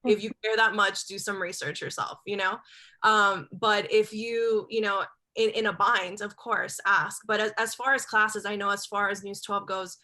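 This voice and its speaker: Bright-voiced woman on a video panel. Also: mid-range voice, little pitch movement, normal speed. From Cuba. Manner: candid, conversational, repeats words. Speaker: TV journalist, panelist. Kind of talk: panel discussion.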